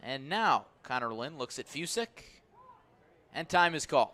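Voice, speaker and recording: Bright, punchy male baseball radio announcer. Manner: measured between pitches, swelling to excited calls on plays. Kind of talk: live radio sports commentary.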